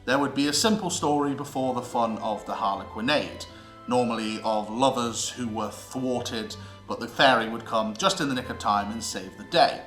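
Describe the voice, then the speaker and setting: A British man presents an educational script in a bright, forceful voice. His delivery is theatrical and emphatic, broken by deliberate pauses. The room adds noticeable echo, and music plays underneath. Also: medium pitch. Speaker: presenter of a theatre history series.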